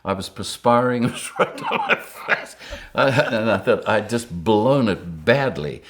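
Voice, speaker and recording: An older man with a very deep, low-pitched voice. He tells his stories in a lively way and keeps breaking into chuckles. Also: measured pace. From Rhodesia. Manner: lively anecdotes, chuckling often. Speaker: interviewee. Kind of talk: storytelling interview.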